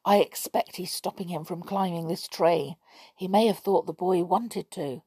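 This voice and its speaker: A woman voicing a practical, impatient young child who reasons in quick whispers. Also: fairly low pitch, dark tone, slightly rough, dry recording.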